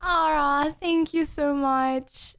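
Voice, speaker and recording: A woman's voice: sits high, very slow. A young woman streaming live, chatting with her viewers in a bubbly, laughing way, full of "bro" and "okay". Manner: excitable, laughy, slangy with lots of "bro" and "okay".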